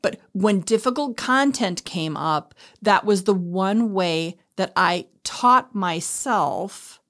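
A woman's voice: mid-range voice, animated, slow pace, fairly bright tone. A woman talking casually and reflectively as a podcast host, explaining things through her own experience. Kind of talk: casual conversational talk.

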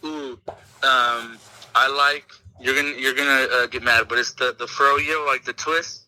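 A man's voice: medium pitch, fairly even intonation, a little slow, sharp tone, no room sound. A man talking casually as a guest on a phone call, answering questions. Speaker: called guest.

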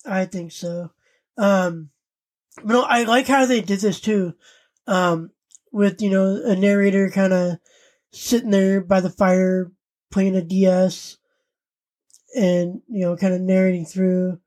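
A woman co-hosts a casual podcast recap. She speaks at a slow pace with a medium pitch. Her delivery is halting, as she often searches for the next word. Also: fairly even intonation, darkish tone. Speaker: podcast co-host. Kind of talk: casual chat recapping an anime parody.

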